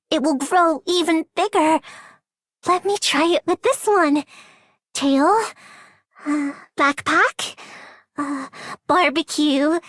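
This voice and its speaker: A naive, earnest young girl in a video game. The voice is very high and childlike, speaking slowly in a dry, close recording. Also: a bit bright, dry recording, exaggerated voice. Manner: innocent, childlike. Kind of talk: video game character voice line.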